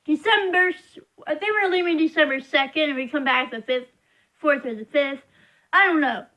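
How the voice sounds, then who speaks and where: A woman's voice: sits high, normal speed, pushed and bright. A young woman vlogging to camera, rambling as she complains in frustration. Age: young adult. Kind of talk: casual venting monologue.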